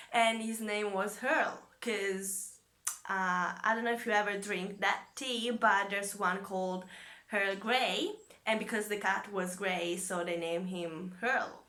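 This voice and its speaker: Bright-voiced Italian teen woman. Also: mid-range voice, steady tone, slow. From Italy. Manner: chatty, with frequent fillers. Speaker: former exchange student. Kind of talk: casual vlog chat.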